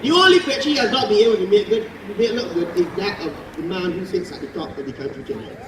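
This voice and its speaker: A man's voice: high-pitched, lively intonation, quick pace, noisy background. A man with a Barbadian accent giving an impassioned, folksy political speech at an outdoor meeting. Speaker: political candidate. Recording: echoey, distant rally sound.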